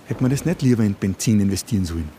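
An actor playing a domineering, condescending conspiracy believer turned kidnapper in a radio play, speaking colloquially with an Austrian accent. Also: male voice, fairly low pitch, expressive, very fast, mellow, a little hiss or hum, close to an everyday voice. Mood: gruff, bossy. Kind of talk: contemporary radio play acting.

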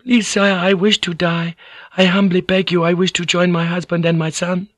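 A man's voice: high voice, level tone, moderate pace, a bit bright, no echo, voice pushed up. A man voicing an abject, deferential young widowed noblewoman who pleads submissively in an audiobook. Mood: desperate, abject.

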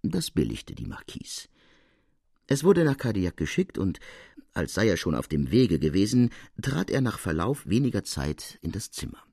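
A man narrating a classic literary tale for a radio audiobook in an expressive, formal way. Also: sits low, moderate pace, creaky, breathy edge, no room sound, clean studio sound.

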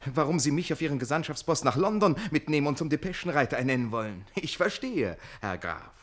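An actor playing an ardent, quick-witted and indignant servant bridegroom in a classic radio play, wry and theatrical. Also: male voice, a little high, animated. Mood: bitterly ironic.

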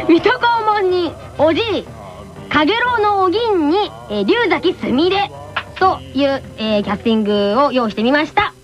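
女性がラジオの進行役として、台本に沿って案内や告知をしている。. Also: female voice, sits high, sing-song, moderate pace, highs cut off. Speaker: radio show presenter. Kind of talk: presenting and announcing on radio. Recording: radio voice under loud music.

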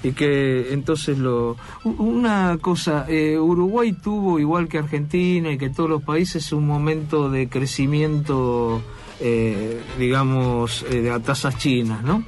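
Hesitant radio host, higher voice. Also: male voice, a little slow, darkish tone, soft background music. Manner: hesitant, many fillers. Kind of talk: radio interview.

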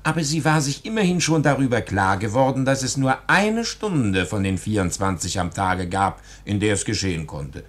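A man's voice: fairly low pitch, expressive, normal speed, hardly any pauses. A man narrating a scripted story in the theatrical, leisurely manner of 1940s radio.

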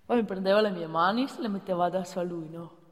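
A woman's voice: on the low side, quick pace, lightly acted. An actress playing an earnest, naive provincial policewoman in a theatrical comic monologue, prim and confiding, with a northern Italian accent. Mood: naive, wistful confiding. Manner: prim, confiding, emphatic when alarmed. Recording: reverberant theatre hall.

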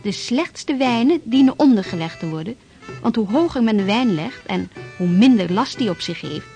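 An actress playing a formal reciter of old historical documents in a scripted radio drama. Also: female voice, fairly high pitch, animated, moderate pace, mellow, soft background music.

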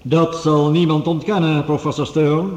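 A man's voice: fairly high pitch, level tone, normal speed, a little mellow, lightly acted. An actor playing a formal, businesslike male chairman, in radio drama style. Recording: dull, distant sound in echoing hall.

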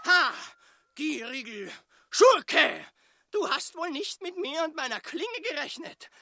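A woman's voice: sits high, sing-song, slow, bright, forceful tone, dry recording, studio quality, exaggerated voice. A woman playing a vengeful, haughty young noble in a melodramatic radio drama, declaiming imperiously. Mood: vengeful, commanding.

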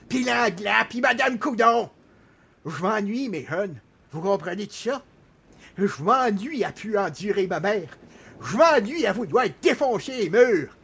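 A man's voice: high-pitched, creaky, fairly bright tone, slightly rough, full character voice. An actor playing a bored, exasperated old man with a Quebec French accent, complaining plaintively in a radio comedy monologue. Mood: plaintive, pleading. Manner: plaintive, dramatic, folksy, drawn-out complaining.

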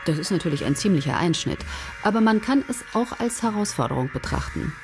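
A bossy head writer, lively swooping pitch, brisk and patronizing, radio play, clear music behind. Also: female voice. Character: confident, manipulative female head writer. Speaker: head of a soap writing team.

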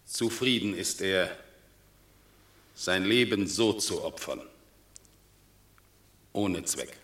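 A solemn Roman orator who declaims verse slowly and with weight, in a bright, carrying tone. The sound is roomy, with a studio reverb around the voice, as in an old radio play. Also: male voice, sits low. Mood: solemn praise. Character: solemn male Roman orator praising a hero. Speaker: Roman consul reporting a battle. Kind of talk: classic stage radio drama.

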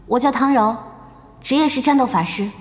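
Actress doing a cool confident young gamer girl in a radio play, slightly high voice. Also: fairly quick, a little hiss or hum, close to an everyday voice. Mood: composed. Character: composed, confident young female gamer, newcomer challenger. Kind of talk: Chinese audio drama acting.

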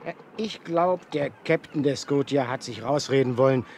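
An actor playing a blunt, skeptical, seasoned harpooner in a seventies German adventure radio play, plain-spoken and sure of himself. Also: male voice, medium pitch, normal speed, a little mellow, natural voice. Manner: plain-spoken, self-assured. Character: seasoned, skeptical male harpooner, blunt old sea dog. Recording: noisy 1970s vinyl radio play.

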